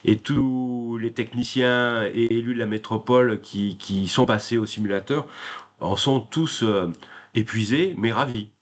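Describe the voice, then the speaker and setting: A man moderating a professional discussion off the cuff, pausing often between short phrases. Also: medium pitch. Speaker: moderator of a thematic meeting.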